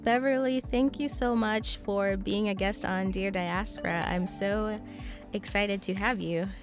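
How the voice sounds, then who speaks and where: A woman's voice: medium pitch, steady tone, unhurried, music in the background. A woman hosting a podcast, talking in a conversational style. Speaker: podcast host.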